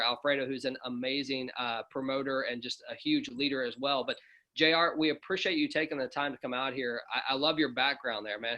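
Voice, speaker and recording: A man's voice: mid-range voice, sharp tone, muffled recording. A man hosting an online video call, friendly and joking as he brings speakers on. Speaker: host of an online presentation. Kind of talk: upbeat casual hosting.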